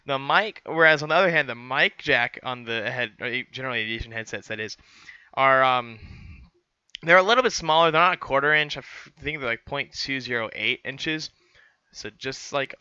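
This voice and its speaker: A young man talks through a how-to in a loose, rambling way, stopping and restarting often. His voice is smooth and very bright. It comes through a headset mic in a small, dry room, with a slightly dull sound. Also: medium pitch, moderate pace, no echo. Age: young adult. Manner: rambling, many fillers and restarts. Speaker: student pilot vlogger. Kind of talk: casual how-to explanation. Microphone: headset boom mic.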